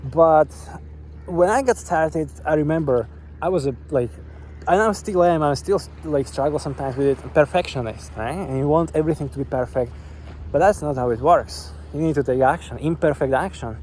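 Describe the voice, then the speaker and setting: A man's voice: on the high side, moderate pace, a little mellow, slight background noise. A man from Slovakia, vlogging eagerly on an outdoor beach, with many fillers and restarts. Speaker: online business vlogger. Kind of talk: upbeat to-camera vlog pitch.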